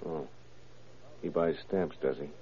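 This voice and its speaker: Very deep-voiced gruff radio detective. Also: male voice, unhurried, mellow, exaggerated voice. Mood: brisk questioning. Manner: clipped, commanding, questioning. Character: gruff, probing male police detective with dry sarcasm. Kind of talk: 1940s radio crime drama acting. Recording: muffled, band-limited old radio recording.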